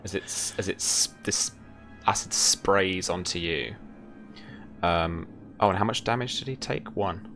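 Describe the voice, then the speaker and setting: A man's voice: low-pitched, a little slow, fairly bright tone. A young man running a tabletop game as game master, narrating and talking rules in a casual, hesitant way. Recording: music behind the voice.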